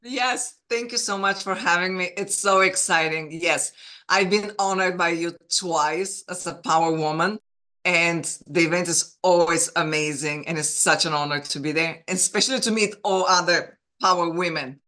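A woman's voice: on the low side, moderate pace, pushed and bright. A woman from Bulgaria with a Bulgarian accent, a guest in an interview telling her career story earnestly and with enthusiasm, with small restarts. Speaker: guest, film producer. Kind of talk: interview conversation.

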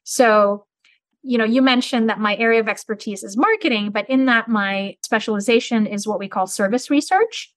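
A middle-aged woman from Turkey, a business school dean, answering interview questions in a measured, articulate, explanatory way. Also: on the high side, no room sound.

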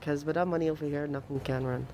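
A man's voice: on the high side, fairly even intonation, fast talker, crowd noise. A man with a Guyanese accent, a local resident interviewed on the street, talking casually and earnestly.

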